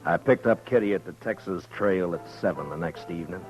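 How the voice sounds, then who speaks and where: A steady frontier marshal narrates in a very deep, measured, low-key voice, played by a man. Music plays clearly behind him in the old radio broadcast. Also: dull audio, lightly acted. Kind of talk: 1950s radio drama narration.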